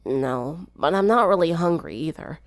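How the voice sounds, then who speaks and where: A woman voicing a sick, groggy teenage girl with a weak, croaky, gravelly delivery in a story reading. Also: animated, moderate pace, no room sound, studio quality. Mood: weak, weary. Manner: weak, groaning, low-energy.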